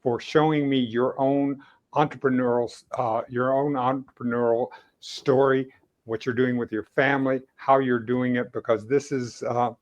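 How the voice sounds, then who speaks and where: A male podcast host with a mid-range voice in a casual interview. He is effusive and encouraging toward his guest. His delivery is halting, with phrases repeated and reworded as he talks. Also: medium pitch, steady tone, a little mellow, lo-fi sound.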